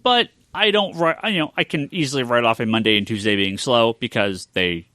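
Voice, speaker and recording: A man's voice: medium pitch, fairly quick, pushed and bright, no room sound. A man with an American accent in casual podcast discussion as a host, thinking aloud and repeating phrases as he goes. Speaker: podcast host, comic shop owner.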